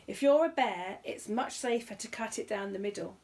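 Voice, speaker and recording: A woman's voice: mid-range voice, normal speed, darkish tone, lightly acted. A woman voicing a polite, earnest, literal-minded young male bear in a children's storybook reading. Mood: matter-of-fact. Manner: polite, matter-of-fact, insistent.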